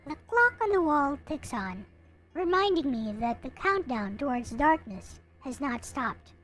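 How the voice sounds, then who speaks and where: A high, swooping voice from a woman acting a young visual novel narrator who has given up on life. The delivery is brooding, bitter and self-pitying, theatrical in its melancholy. Music is clearly present in the recording. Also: very animated, unhurried, a bit bright, heavily acted, rough recording. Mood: resigned, sullen. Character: gloomy, bitter, hopeless young person going blind, self-pitying narrator. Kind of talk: dramatic reading of a visual novel.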